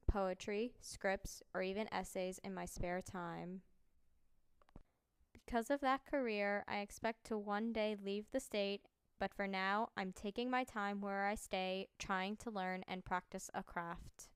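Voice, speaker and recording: A young woman from near New Orleans, a university student, reading her prepared personal narration in a calm, steady way. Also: mid-range voice, clear, resonant tone, dry recording. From New Orleans area, USA. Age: young adult.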